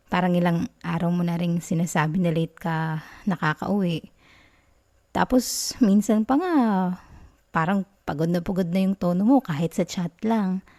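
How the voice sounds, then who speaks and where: Female, Filipino accent, acting as a sweet, teasing girlfriend; soft, dark-toned, intimate ASMR delivery. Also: mid-range voice, vocal fry, natural voice. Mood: gently concerned. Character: affectionate, caring young girlfriend, playful and sweet. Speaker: ASMR roleplay performer. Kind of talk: affectionate girlfriend ASMR roleplay in Taglish.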